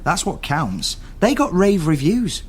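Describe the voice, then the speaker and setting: An actor playing an eager, inquisitive investigator in a naturalistic radio drama. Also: male voice, high-pitched, big pitch swings, a little slow, slight background noise. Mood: intrigued. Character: eager, inquisitive male investigator tracing an artist's family.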